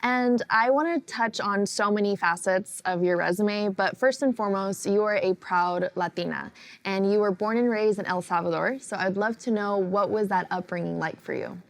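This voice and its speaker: A woman hosting a podcast interview, reading a scripted intro and then asking questions with lively enthusiasm. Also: mid-range voice, moderate pace, gravelly. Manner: warm and enthusiastic, praising the guest. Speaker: podcast host.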